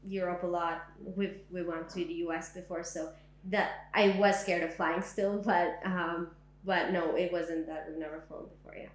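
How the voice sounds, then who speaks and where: A woman's voice: lowish voice, fairly even intonation, normal speed, reverberant, rough recording. A young woman from Syria in a living room, recalling her past in an oral history interview, speaking reflectively with many "like"s and "um"s. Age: young adult. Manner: reflective, frequent "like" and "um".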